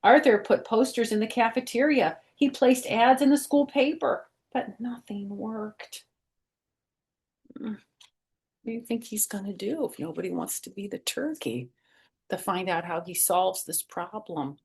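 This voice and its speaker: A woman's voice: mid-range voice, measured pace, creaky, a little mellow. A woman reading a picture book aloud to children, explaining things and asking her young listeners questions as she goes. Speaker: storytime reader and former first grade teacher.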